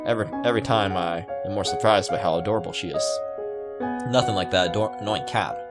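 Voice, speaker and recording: Low-voiced young man reading over loud music. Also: fast. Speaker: gaming video host. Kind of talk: visual novel read aloud with casual commentary. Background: loud game music.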